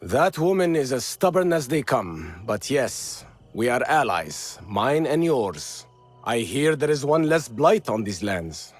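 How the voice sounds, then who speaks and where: A man's voice: mid-range voice, sing-song, unhurried. An actor playing a spirited, wry male rebel leader in a video game. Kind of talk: dramatic video game dialogue. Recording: music behind.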